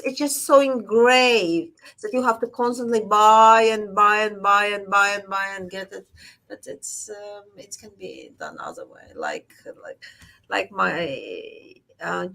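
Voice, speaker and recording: A woman from Latvia, a surgeon, speaking as an interview guest in a halting way, repeating words as she goes. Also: medium pitch, creaky, a bit bright, poor audio. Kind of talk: interview conversation.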